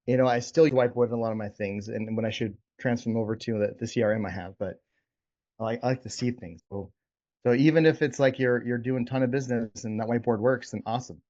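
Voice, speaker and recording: A man's voice: mid-range voice, quick pace, warm tone. A man hosting a casual group coaching session over a video call, informal and encouraging as he checks in with participants. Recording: dull, lo-fi video call audio.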